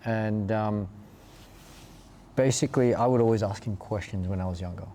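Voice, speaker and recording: A man with an Australian accent and a fairly low voice tells their story casually, pausing and rewording as they go. The tone is soft-edged and mellow. There is noticeable ambient noise behind them. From Perth, Australia. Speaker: interview guest telling their life story. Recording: clear background ambient noise.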